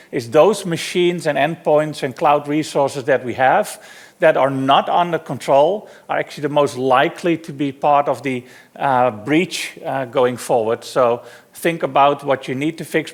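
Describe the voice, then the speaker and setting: A middle-aged man with a Dutch accent presents a technical talk at a conference, easygoing and a bit self-deprecating, dropping plenty of "uh"s. His voice sits somewhat high for a man and has a gravelly, fry-laden edge. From Netherlands. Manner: relaxed, self-deprecating presenter with frequent fillers. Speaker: conference speaker. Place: conference hall.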